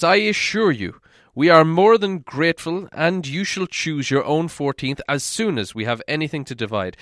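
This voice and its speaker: A man performs a proud male dwarf chieftain from a storybook. He declaims formally and loudly, pitched above a plain narrating voice, with stern emphasis. The recording is dry. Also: no echo. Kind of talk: storybook character voice in audiobook reading.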